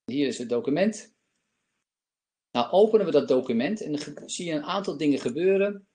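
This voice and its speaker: A man's voice: a little high, lively intonation, brisk, warm tone. Hesitant man presenting on a video call. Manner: hesitant, many fillers. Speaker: company presenter. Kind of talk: live software demo and Q&A. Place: home office, indoors. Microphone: laptop webcam on video call.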